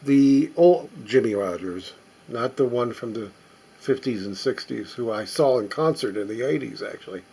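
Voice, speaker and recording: A middle-aged or older man giving casual, unscripted show-and-tell commentary as a collector, halting and musing aloud as he inspects things. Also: mid-range voice, animated, creaky, dark tone, a little hiss or hum. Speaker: record collector presenting finds.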